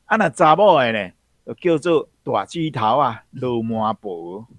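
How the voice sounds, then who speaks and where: A man's voice: mid-range voice, lively intonation, a little slow, dry recording. Guy explaining where a word comes from, dry close mic. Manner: explanatory, frequent fillers. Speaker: guest commentator. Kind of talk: explaining a word's origin.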